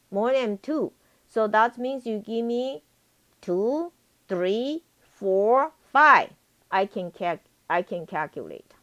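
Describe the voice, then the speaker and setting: A woman's voice: on the high side, dull audio. A woman teaching a live programming lesson as a professor, repeating herself and often checking that students understand. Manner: repetitive, frequently checks for understanding.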